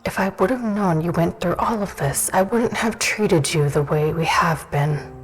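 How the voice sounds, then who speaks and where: A woman playing a stern, guarded warrior of an ancient dragon-blooded race in a fantasy audio drama, speaking in a measured, commanding way. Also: fairly low pitch, a little hiss or hum, natural voice, poor audio. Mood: apologetic, softened.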